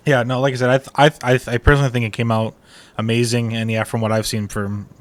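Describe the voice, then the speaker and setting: Guy hosting a podcast, mid pitch, super dry sound, chatty slangy and encouraging. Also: flat delivery, quick pace, dry recording. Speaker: podcast host. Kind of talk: casual interview chat.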